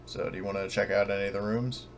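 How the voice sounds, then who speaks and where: Male game master, lowish voice, casual halting delivery, slightly noisy voice chat. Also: fairly even intonation. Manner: hesitant, casual, thinking aloud. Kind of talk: improvised narration of a tabletop game. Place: online voice call.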